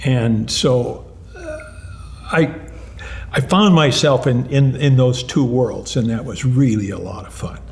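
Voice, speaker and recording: An older man, a retired mathematics professor, answering interview questions in an animated way, often restarting and repeating words. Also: mid-range voice, a little slow, gravelly, slight background noise. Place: lounge-like room with armchairs and lamps, indoors.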